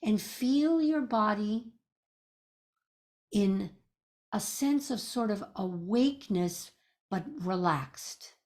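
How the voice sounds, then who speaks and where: A woman leading a guided meditation as a teacher, speaking in a gentle, soothing, measured way with pauses. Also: medium pitch, expressive.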